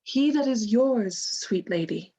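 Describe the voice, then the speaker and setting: An actress playing a loyal, frank lady's maid in a Shakespearean radio play, firm, emphatic and measured. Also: medium pitch, expressive, warm tone. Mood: concerned. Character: loyal, frank lady's maid, staunchly protective of her mistress.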